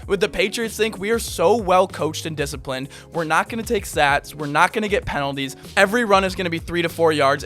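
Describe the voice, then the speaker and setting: A man with a hoarse, worn voice from being under the weather, breaking down football game film and sharing his opinions. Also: on the high side, fairly bright tone. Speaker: sports video host.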